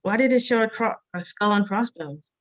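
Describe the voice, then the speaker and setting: Woman as a blunt, curious young friend, mid-pitched. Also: normal speed, close to an everyday voice. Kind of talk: table read of a TV pilot script.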